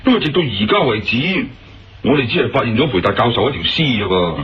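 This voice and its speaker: Male police officer played by a man, Hong Kong Cantonese radio drama, businesslike but animated pitch, muffled with faint noise. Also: quick pace, lightly acted. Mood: inquiring, advising. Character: male police officer, matter-of-fact, advising a colleague.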